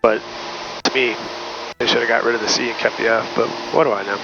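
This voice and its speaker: A man's voice: mid-range voice, steady tone, quick pace, vocal fry, noisy background. A man with an American accent, a pilot talking casually with a colleague over a headset in a small plane. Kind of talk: casual cockpit chat. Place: small aircraft cockpit in flight. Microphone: aviation headset. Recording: dull intercom audio, loud aircraft drone.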